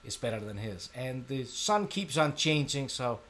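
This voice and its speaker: A middle-aged man from Denmark with a Danish accent, hosting a casual live software tutorial, thinking aloud in an informal, self-deprecating way. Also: medium pitch, very animated, moderate pace. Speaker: tutorial live stream host.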